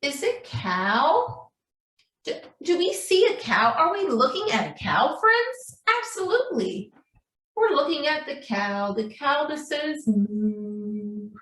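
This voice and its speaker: High, animated woman teaching young children. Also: slow. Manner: addresses young children, frequent checking questions. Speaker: preschool story time teacher. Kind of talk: children's craft and writing lesson. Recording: roomy echo, distant microphone.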